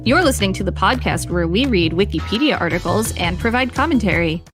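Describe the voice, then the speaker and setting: A woman's voice: medium pitch, a little fast, over music. A woman co-hosting a podcast, reading an article aloud in an upbeat way with some banter. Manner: upbeat, reads lists excitedly.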